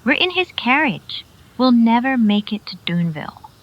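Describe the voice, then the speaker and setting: A woman's voice: a little high, normal speed, highs cut off. A woman playing an anxious, emotional young frontier mother in a western radio drama. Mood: frantic, worried. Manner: emotional, expressive.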